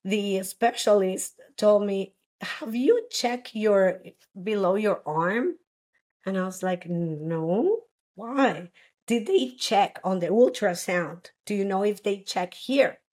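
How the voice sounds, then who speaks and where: Woman from costa rica, 30s, telling her story, halting and earnest, lots of restarts. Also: medium pitch, lively intonation, a little mellow. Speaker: guest. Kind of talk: personal story in an interview.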